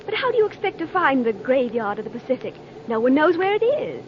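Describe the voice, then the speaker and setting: A curious, eager young lady in an old radio drama. Her high voice is full of movement, on a muffled, noisy recording. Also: big pitch swings, fairly quick. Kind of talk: vintage radio drama acting.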